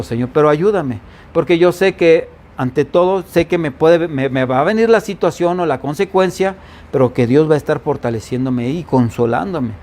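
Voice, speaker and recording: A man's voice: fairly high pitch, a little mellow, slight background noise. A man from the Veracruz coast preaching as pastor in an informal Bible-study sermon, with a faint Veracruz accent, often asking the congregation questions. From Veracruz coast, Mexico.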